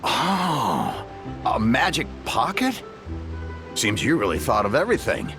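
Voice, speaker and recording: A man's voice: medium pitch, wildly swooping pitch, unhurried. An actor playing a friendly, practical townsman in a video game, with a polite, conversational manner. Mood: grateful. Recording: music clearly under the voice.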